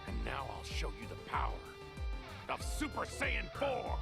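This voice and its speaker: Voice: mid-range voice, huge pitch swings, measured pace, gravelly, exaggerated voice. An actor voicing a bold, defiant alien warrior in a fighting video game. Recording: game audio under loud music.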